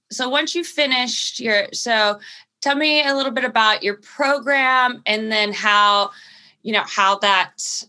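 A woman's voice: mid-range voice, bright, forceful tone. A woman guest-hosting an interview, asking friendly questions in an upbeat, casual way.

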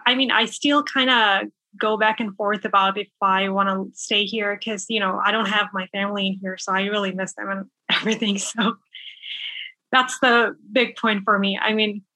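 A woman's voice: mid-range voice, steady tone, moderate pace, bright, forceful tone, no room sound, low-quality recording. A young woman from Bangladesh, a nurse, chatting casually in an interview over a video call, with frequent fillers and light laughter. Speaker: nurse guest.

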